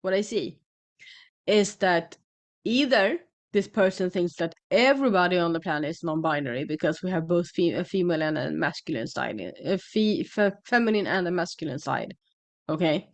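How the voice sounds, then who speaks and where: A woman from Sweden with a Swedish accent, talking casually to her channel's viewers and often pausing with fillers and restarts. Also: lowish voice, animated. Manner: hesitant, many fillers and restarts. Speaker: channel host. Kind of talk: casual monologue to camera.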